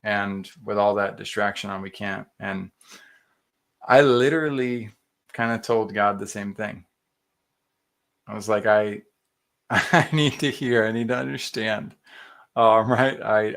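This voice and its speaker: Male podcast host, low and gravelly, earnest and animated. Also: unhurried, pauses often. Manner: earnest, animated, with many fillers and quoted self-talk. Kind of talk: casual reflective chat.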